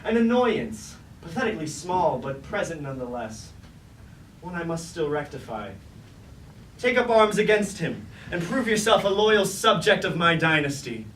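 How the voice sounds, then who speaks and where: A man's voice: on the high side, very animated, moderate pace, fairly bright tone. An actor playing a scheming, grandiose robot king in a staged tragedy, speaking pompous, archaic theatrical lines. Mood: sly, disdainful. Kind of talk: staged reading of a mock Greek tragedy. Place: indoor staged reading, mic far from actor. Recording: roomy, distant, noisy live stage recording.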